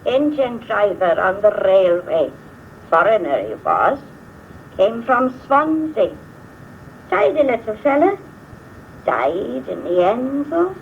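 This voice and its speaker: An actress playing a nostalgic elderly village auntie with a Welsh accent, musing and rambling in homely 1950s film dialogue. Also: female voice, mid-range voice, big pitch swings, takes it slowly, gravelly, long pauses, exaggerated voice. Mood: gossipy, musing. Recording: tinny old film sound on hissy cassette.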